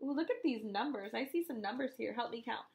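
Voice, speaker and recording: A woman in her thirties reading a picture book aloud to young children as a counting lesson, in an animated, wondering manner full of questions. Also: fairly high pitch, quick pace, creaky.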